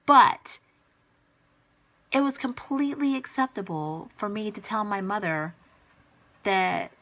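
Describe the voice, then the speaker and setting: A woman's voice: mid-range voice, bright, forceful tone. A woman vlogger speaking straight to camera, answering her critics in an exasperated, emphatic and blunt way. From United States. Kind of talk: direct-to-camera rebuttal vlog.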